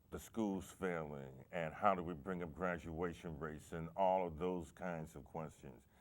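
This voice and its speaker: A man hosting a local talk show, asking questions in a measured, thoughtful way with some hesitations. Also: low-pitched. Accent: American.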